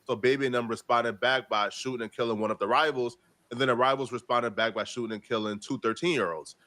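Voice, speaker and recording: A man with an average-pitched voice delivers casual news commentary as an online commentator. He is opinionated and conversational, using slang and sounding incredulous about what he reports. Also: fairly even intonation, fast talker, bright, forceful tone.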